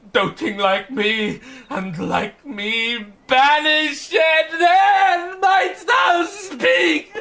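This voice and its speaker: A man's voice: very high voice, full character voice. A man playing a distraught, impetuous young banished lover in classical Shakespearean radio drama, declaiming with anguish. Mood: bitter, pleading. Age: young adult. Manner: declamatory, anguished, bursting into shouts and sobs. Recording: faint hiss, 1990s radio drama CD.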